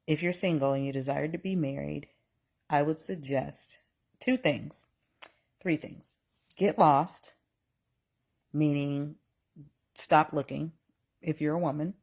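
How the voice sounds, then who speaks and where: A woman in her forties with a low voice hosts a live talk. She speaks slowly, circling back and repeating herself, and now and then reads out viewer comments. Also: steady tone, creaky, a little mellow. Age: 40s. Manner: reads chat aloud, exclaims in mock outrage; slow, repetitive, restarts often. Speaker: live stream host. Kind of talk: casual live talk on relationships and faith.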